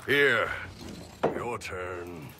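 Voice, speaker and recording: Big gruff qunari merc from a video game, real deep voice, blunt and boisterous, noisy background. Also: male voice, animated, drawn-out words, exaggerated voice. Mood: musing, amused. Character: big blunt boisterous male horned Qunari mercenary warrior. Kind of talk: video game cut-scene dialogue.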